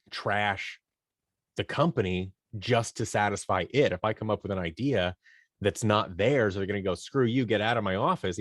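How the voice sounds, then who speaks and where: A man's voice: lowish voice, no room sound, studio quality. A man speaking conversationally as an interview guest, explaining things by acting out imagined bits of dialogue. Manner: animated storytelling, acts out imagined dialogue. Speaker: guest, creative company owner and director. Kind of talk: conversational podcast interview answers.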